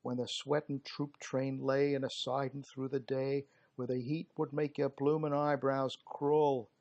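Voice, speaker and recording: A man reciting dramatic verse as a gruff working-class British soldier of colonial India, theatrical and emphatic, with slangy, clipped word endings. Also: medium pitch, level tone, unhurried, darkish tone, highs cut off, lightly acted. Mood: reminiscing. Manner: theatrical, emphatic, dropped g's and slangy phrasing.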